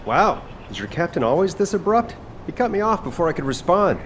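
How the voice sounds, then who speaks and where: A man's voice: high voice, big pitch swings, brisk, background noise. A man voicing a laid-back, sardonic young chef in a video game, speaking casually and expressively. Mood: wry.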